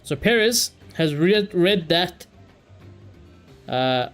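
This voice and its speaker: Higher-voiced man chatting casually on a livestream. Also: lively intonation, extremely slow, fairly bright tone, clear, resonant tone, faint music behind. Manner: opinionated, exasperated asides, repeats phrases, mild swearing. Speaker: live streamer. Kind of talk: casual live gaming stream chat.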